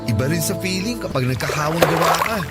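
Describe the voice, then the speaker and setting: An actor playing a shy, introverted young man who speaks softly and a little hesitantly. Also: fairly high pitch, expressive, fast, natural voice. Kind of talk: radio drama acting. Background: loud background music.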